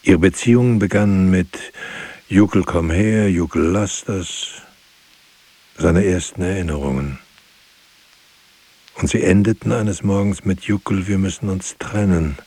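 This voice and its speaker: An actor playing a grave narrator in a literary radio drama, speaking in a solemn, measured way. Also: male voice, sits low, dark tone, slightly rough, heavily acted. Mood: mournful, reflective. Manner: solemn, measured, terse sentences. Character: grave male narrator recounting lives and losses.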